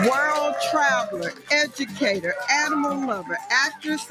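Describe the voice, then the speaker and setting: Slow-paced woman announcer over loud music. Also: on the high side, low-quality recording. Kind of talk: scripted show introduction.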